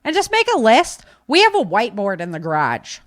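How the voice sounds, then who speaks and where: A middle-aged American woman from Michigan chatting informally as a podcast host, telling stories in an animated, slangy way. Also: mid-range voice, fairly quick, dry recording, studio quality. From Michigan, United States. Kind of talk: casual conversational podcast chat.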